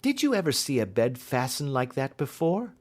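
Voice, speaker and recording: A man voicing a keen, assured detective in an audiobook, explaining things precisely and deliberately. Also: medium pitch, big pitch swings, pitched above the performer's own voice. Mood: keen, reasoning. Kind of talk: audiobook character dialogue.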